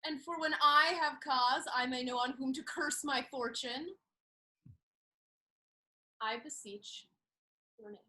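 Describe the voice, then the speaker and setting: Woman playing a high, bright, spirited young noblewoman. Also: slow, long pauses, exaggerated voice, low-quality recording. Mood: coy. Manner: theatrical, playful, spirited. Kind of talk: classical stage comedy acting.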